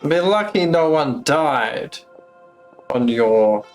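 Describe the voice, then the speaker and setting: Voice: medium pitch, lively intonation, slow pace. A streamer giving casual live commentary while playing a video game. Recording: game music behind the voice.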